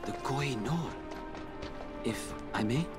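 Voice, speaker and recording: A male actor playing an earnest assassin ally, measured and polite, in a video game cutscene. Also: mid-range voice, over loud music, lightly acted. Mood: solemn. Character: earnest, soft-mannered Indian assassin ally.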